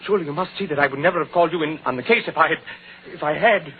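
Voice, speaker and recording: A flustered, pleading suspect acted by a man in a vintage radio mystery. He stammers nervously in a rapid, raised voice on a narrow, tinny recording. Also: a little high, a little hiss or hum, lightly acted. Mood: frantic, pleading. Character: frantic, nervous murder suspect pleading innocence. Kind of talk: old-time radio detective acting.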